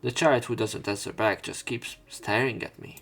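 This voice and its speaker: A man's voice: mid-range voice, fairly even intonation, normal speed, creaky, a bit bright. A man playing through a visual novel, reading its narration aloud and adding casual, informal commentary of his own. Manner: informal, swearing in reactions. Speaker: let's player.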